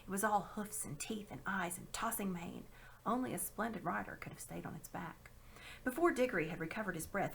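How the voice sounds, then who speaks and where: A woman reading a children's story aloud with dramatic, expressive narration. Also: mid-range voice, creaky, slight background noise. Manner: dramatic, animated. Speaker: storybook reader.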